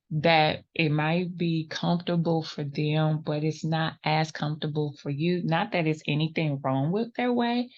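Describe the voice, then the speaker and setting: A woman's voice: deep voice, highs cut off. A woman hosting a crochet tutorial, talking patiently and reassuringly, counting stitches aloud, a little sniffly from a runny nose. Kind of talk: step-by-step crafting instruction.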